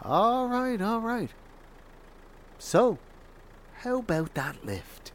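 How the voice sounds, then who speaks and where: An actor playing a blunt, world-weary, defiant survivor in a naturalistic horror audio drama. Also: male voice, high voice, big pitch swings, very slow, a little hiss or hum, full character voice. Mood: wary, wry. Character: jaded, defiant lone male survivor in a horror apocalypse.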